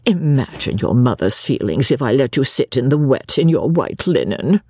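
A woman's voice: extremely low pitch, very animated, normal speed, mellow, slightly rough, no room sound, studio quality, exaggerated voice. A woman voicing a prim, fussy, self-sacrificing older English spinster in an audiobook, with a fretful, politely martyred manner. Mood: martyred fussing. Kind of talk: audiobook character voice.

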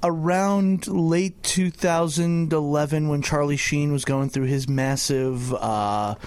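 A man's voice: fairly high pitch, fairly even intonation, slow, darkish tone, clean tone, dry recording. A man whose first language was Italian, a guest filmmaker in a radio studio, talking hesitantly with lots of fillers. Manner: hesitant, apologetic, many fillers. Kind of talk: casual interview chat.

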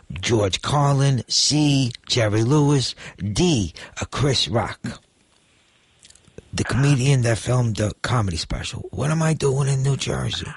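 A man's voice: mid-range voice, husky. A man co-hosting a radio show, joking with callers and reading out trivia questions. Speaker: radio co-host.

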